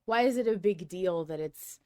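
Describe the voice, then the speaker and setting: Low, clear-voiced woman in casual talk. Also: normal speed, warm tone, no room sound, studio quality. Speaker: podcast panelist. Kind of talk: casual fan discussion.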